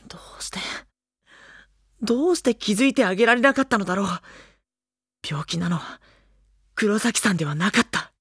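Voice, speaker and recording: An actor playing an earnest, brooding teenage schoolboy in a Japanese audio drama, questioning and narrating with dramatic pauses. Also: male voice, high voice, lively intonation, a bit bright, breathy edge, no room sound, studio quality, full character voice. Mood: anguished realization.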